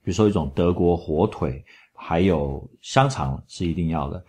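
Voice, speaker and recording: A middle-aged man, chatting in a travel vlog voice-over and telling stories in an animated way. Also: low voice, normal speed. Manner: chatty, animated storytelling with laughs. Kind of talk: casual travel commentary voice-over.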